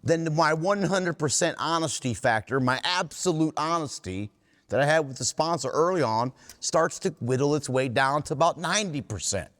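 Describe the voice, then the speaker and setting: A man's voice: on the high side, lively intonation, moderate pace, a bit bright. Earnest man speaking in a reverberant hall. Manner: earnest, plain-spoken, repeats and rephrases points. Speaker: workshop speaker at a recovery meeting. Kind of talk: candid recovery talk to a group.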